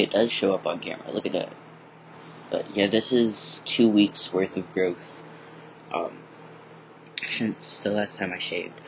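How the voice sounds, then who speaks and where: Deep-voiced young man, lo-fi webcam. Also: a little hiss or hum. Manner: rambling, many ums, relaxed and candid. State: just woke up, voice groggy. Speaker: vlogger. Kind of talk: casual personal video diary. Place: bright room at home. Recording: dull lo-fi webcam audio.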